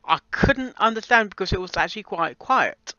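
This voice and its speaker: A man with a fairly high, very bright voice talks off the cuff as in a casual reaction video. He speaks haltingly, circling back to repeat and rephrase his points. The recording is dry and slightly dull. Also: lively intonation, no echo. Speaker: amateur voice actor reviewing a fan fiction audio reading. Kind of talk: unscripted casual reaction vlog.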